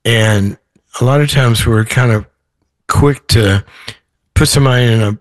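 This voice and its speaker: A man with a hoarse voice, a guest in an interview, talking in a thoughtful, rambling way with many restarts. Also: mid-range voice, unhurried, no room sound. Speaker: author guest. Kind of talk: podcast interview.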